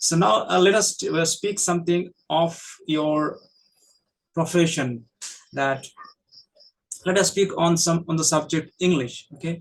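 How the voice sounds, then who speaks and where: A man who teaches English, interviewing a guest in a halting, filler-heavy manner and often murmuring agreement. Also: a little high, low-quality recording. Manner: frequent backchannel, halting with many fillers. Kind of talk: casual conversational interview.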